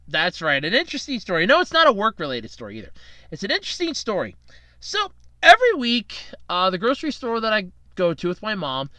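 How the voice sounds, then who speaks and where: Lively chatty podcast host, bright voice. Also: male voice, high-pitched, clear, resonant tone, dry recording. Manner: rambling, chatty, self-deprecating, jokey. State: fighting a cold, tired from night shifts. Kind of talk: casual solo podcast monologue.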